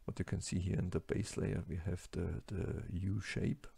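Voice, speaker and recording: A man with a German-speaking accent lectures over slides in a very low, deeply gravelly voice. He talks haltingly, stopping often for fillers and restarts. The sound is close and dry, with no room around it. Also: fairly even intonation, dark tone, dry recording. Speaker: lecturer on plastics engineering.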